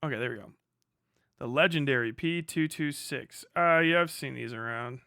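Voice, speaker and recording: A man's voice: mid-range voice, normal speed, pushed and bright, clear, resonant tone, no echo, studio quality. A man giving casual, profane livestream commentary in an exasperated, ranting manner. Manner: ranting, exasperated, heavy swearing. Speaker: livestream host reacting to videos.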